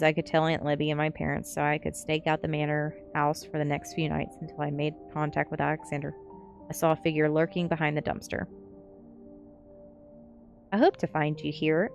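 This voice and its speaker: A woman's voice: deep voice, creaky. A woman reading a novel aloud as narration on an ASMR stream, with the occasional slip.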